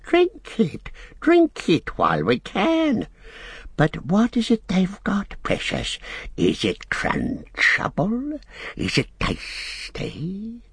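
Sickly wheedling creature, storybook character, very slow, gravelly, strangled hiss, self-pitying. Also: male voice, high voice, huge pitch swings, pitched above the performer's own voice, exaggerated voice. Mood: eager greedy. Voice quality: raspy, hissing, strangled. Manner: repeats words, refers to self in third person, drawn-out. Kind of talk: audiobook character acting.

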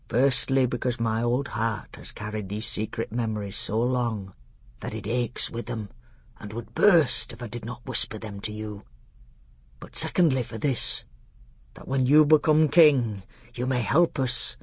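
A narrator voicing a solemn old half-dwarf tutor, small and stout, speaking in a grave, deliberate, heartfelt way for a storybook. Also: medium pitch, husky, dry recording.

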